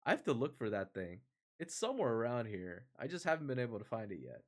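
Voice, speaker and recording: A man hosting a story stream, chatting in an informal, animated and rambling way. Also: medium pitch, quick pace. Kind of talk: casual livestream chat.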